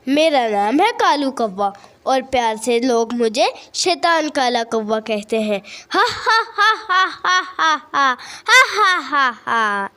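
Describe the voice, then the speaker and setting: A woman's voice: high-pitched, expressive, slow pace, sharp tone, clean tone, heavily acted. A woman voicing a devilish, scheming male crow with a gloating, swaggering manner in a cartoon. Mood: gleeful, wicked.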